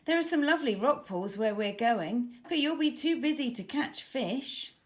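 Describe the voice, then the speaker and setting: A woman voicing a friendly young girl firefighter in a children's storybook, brisk, emphatic and easily flustered. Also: on the high side, animated, close to an everyday voice.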